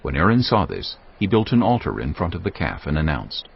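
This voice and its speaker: A man's voice: deep voice, very animated, brisk, vocal fry, husky. A man narrating scripture from a script as the narrator of a dramatized reading. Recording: dull band-limited sound, faint music.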